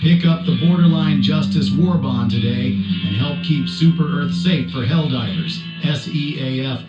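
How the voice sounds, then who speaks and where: A man playing a folksy, swaggering cowboy pitchman with a Western American drawl, delivering hammy radio advert patter. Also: normal speed, close to an everyday voice. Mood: rousing. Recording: roomy, dull radio mix under loud guitar music.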